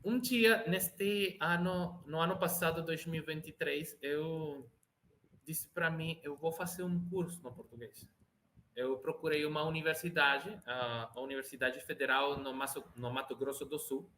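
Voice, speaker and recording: A man's voice: on the high side, fairly even intonation, a little slow, bright, forceful tone, slight background noise. A Venezuelan man with Spanish as his first language, speaking Portuguese with a Venezuelan accent as an interview guest, hesitant and often restarting as he searches for words. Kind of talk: casual interview chat.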